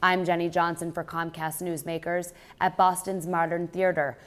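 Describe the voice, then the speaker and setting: American woman hosting, slightly low-pitched voice. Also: little pitch movement, normal speed, a bit bright. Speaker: TV interview host. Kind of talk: broadcast interviewing, prepared introduction and questions.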